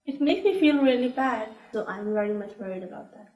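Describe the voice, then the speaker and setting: A woman's voice: on the high side, lively intonation, brisk, darkish tone. A woman from Pakistan, a doctoral student, explaining terms in a textbook-like way. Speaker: PhD student. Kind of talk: scripted explanation. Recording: echoey room.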